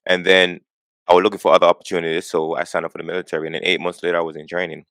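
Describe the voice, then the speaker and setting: A man in his forties from Jamaica talks quickly in a very low voice. He speaks earnestly and often restarts words as he builds up steam. The sound is close and dry, with no room echo. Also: flat delivery, fairly bright tone. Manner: earnest, repeats words as he gets going. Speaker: guest. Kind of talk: conversational interview.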